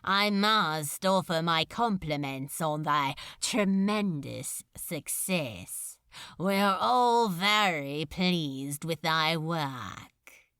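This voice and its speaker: A woman voicing a cold, commanding male demon lord in a storybook, with a rasping voice, a strange unplaceable accent, archaic courtesy and forceful, unpredictable stresses. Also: low voice, expressive, no room sound, studio quality, pitched below the performer's own voice, heavily acted. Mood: cold, mocking. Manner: slow, forceful, unpredictable stress on words, toying.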